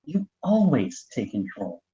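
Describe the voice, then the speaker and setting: A man as a defensive teen boy, animated. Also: medium pitch, a little slow, muffled recording. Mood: exasperated. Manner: defensive, sardonic. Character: defensive teenage boy, restless and evasive. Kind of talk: screenplay table read.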